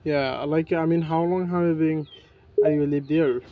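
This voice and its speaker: A man in his twenties practicing conversation, speaking in halting, broken phrases. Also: a little high, steady tone. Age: 20s. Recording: muffled with faint background noise.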